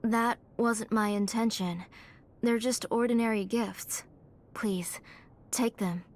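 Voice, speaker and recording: Gentle caring mother. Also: fairly high pitch, fairly even intonation, takes it slowly. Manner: soft, earnest. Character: gentle caring mother, worried, soft-spoken. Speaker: mother and name engraver.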